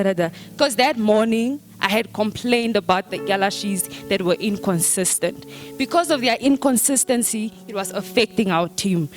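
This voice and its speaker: A young woman of eighteen, a school deputy head girl, giving an earnest, storytelling speech at a book launch. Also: medium pitch.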